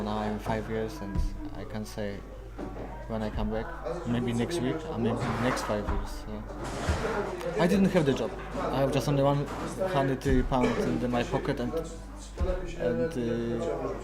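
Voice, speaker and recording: A man's voice: mid-range voice, rough recording. A man from Poland with a Polish accent, speaking simple English and searching for words.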